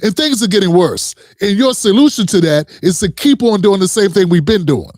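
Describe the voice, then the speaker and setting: A man's voice: high-pitched, expressive, brisk, a little mellow, no echo, studio quality. A man hosting a reaction commentary, talking in a heated, emphatic way with frequent profanity and direct address to viewers. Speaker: commentary host.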